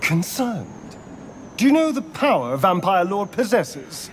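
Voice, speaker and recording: An actor voicing a haughty, anxious vampire spawn on the run in a video game, theatrical and sardonic. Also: male voice, high-pitched, sing-song, a little slow, noisy background. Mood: agitated scorn.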